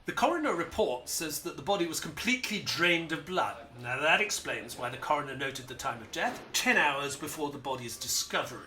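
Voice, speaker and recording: An actor playing a learned, earnest doctor who is a detective's sidekick and narrator in an audio play, precise and explanatory. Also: male voice, on the high side, lively intonation, fairly quick, hardly any pauses, sharp tone, slight background noise. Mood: clinical, assured.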